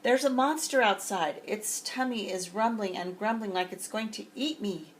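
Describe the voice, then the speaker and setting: A woman's voice: mid-range voice, moderate pace, slight background noise, pitched above the performer's own voice, close to an everyday voice. A woman voicing a scared young bear cub who pleads to be let into the bed. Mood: worried. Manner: worried and pleading.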